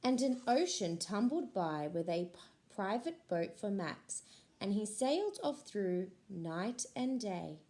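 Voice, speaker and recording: A woman, a school librarian, reading a picture book aloud to children in a slow, expressive voice. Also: medium pitch.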